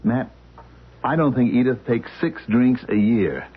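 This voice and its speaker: An actor playing an anxious husband who stammers and repeats himself, in a radio drama. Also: male voice, medium pitch, expressive, measured pace, breathy edge. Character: anxious middle-aged man, husband, frightened and pleading. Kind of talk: 1970s radio drama acting. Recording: muffled lo-fi old radio recording.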